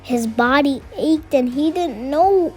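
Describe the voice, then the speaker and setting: Female children's storybook reader, expressive and high-pitched, unhurried delivery, faint music underneath. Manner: expressive, addresses young listeners with questions. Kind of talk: read-aloud storytelling with chatty asides to children. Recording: music behind the voice.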